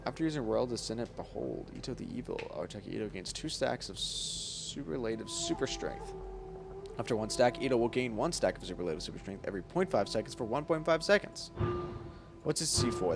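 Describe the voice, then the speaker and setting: A man's voice: fairly low pitch, creaky. A man giving casual let's play commentary, slangy and reactive, talking back to the game. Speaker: gaming content creator. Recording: game music loud behind the voice. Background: video game music.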